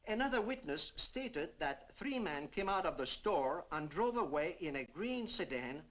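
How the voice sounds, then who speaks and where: An actor playing a formal, precise official delivering a manhunt report in a 1950s film. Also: male voice, on the high side, big pitch swings, a little slow, fairly bright tone, rough recording. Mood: businesslike, official.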